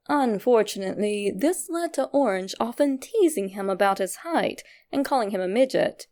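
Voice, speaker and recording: A woman reading a scripted narration, with a creaky edge to her voice. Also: medium pitch, darkish tone. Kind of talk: scripted narration of a fan theory.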